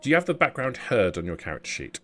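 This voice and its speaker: A man's voice: mid-range voice, sing-song, fast talker, soft background music. A man as game master, narrating and explaining a tabletop roleplaying game in an explanatory, improvised way.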